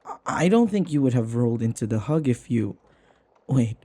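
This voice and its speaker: A man playing a flustered, submissive servant in an intimate audio roleplay, hesitant and apologetic, stammering with a breathy voice. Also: medium pitch, animated, normal speed, warm tone, lightly acted. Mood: anxious. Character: flustered, submissive young male servant devoted to his vampire mistress.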